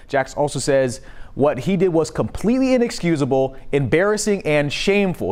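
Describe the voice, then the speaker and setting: A man's voice: on the high side, smooth. A man delivering scripted broadcast sports news as a reporter.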